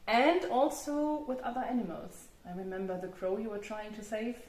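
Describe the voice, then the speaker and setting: A woman's voice: fairly high pitch, normal speed, darkish tone, reverberant, slight background noise. A woman with a German accent, hosting a casual chat and laughing often. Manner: laughs often, restarts, loose and chatty. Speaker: host.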